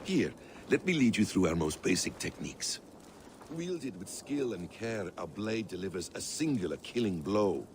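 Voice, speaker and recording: A low-pitched mentor of a hidden brotherhood in a video game. He teaches in formal, philosophical phrases with very mobile intonation. There is clear outdoor noise behind him. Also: very animated, moderate pace, a little mellow. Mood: calm, guarded. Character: male mentor of a secret assassin brotherhood, measured and wise. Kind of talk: video game cutscene acting.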